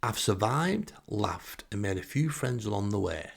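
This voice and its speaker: Low, gravelly Northern English man, wry. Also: animated, normal speed. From northern England. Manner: wry, sarcastic. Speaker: podcast host. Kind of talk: comic first-person storytelling monologue.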